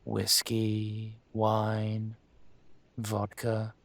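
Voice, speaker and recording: A man playing an aging dragon mafia boss in a roleplay audio drama, speaking in a smooth, deliberate, courtly manner with veiled menace. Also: fairly low pitch, monotone, exaggerated voice. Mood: suave, courteous. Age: older.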